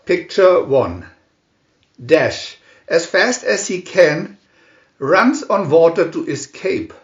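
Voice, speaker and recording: A man reading a scripted picture storybook aloud in short, deliberate phrases with pauses between them. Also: fairly high pitch, big pitch swings, reverberant, muffled recording. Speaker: reader of picture-story booklets.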